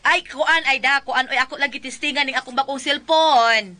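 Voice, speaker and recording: A vain spendthrift wife in a radio drama, speaking with a Cebuano Filipino accent. Her voice is high and sharp, and she rambles in gushing exclamations, boastful and quick to snap. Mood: gushing, showy.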